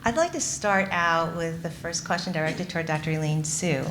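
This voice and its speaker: A woman's voice: low voice, normal speed, fairly bright tone, slight background noise. A woman moderating a live patient panel at an event, chatty and a little apologetic, with plenty of fillers. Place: event venue in front of an audience.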